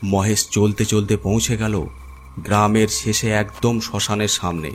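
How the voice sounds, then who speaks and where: Low-voiced man narrating a horror story. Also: steady tone, measured pace, faint music behind.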